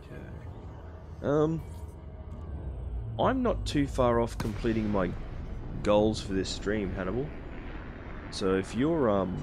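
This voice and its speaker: A man's voice: fairly low pitch, lively intonation, slow, long pauses, noisy background. A young Australian man casually chatting with his live-stream viewers while playing a space game. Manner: chatty, answering chat viewers between game actions.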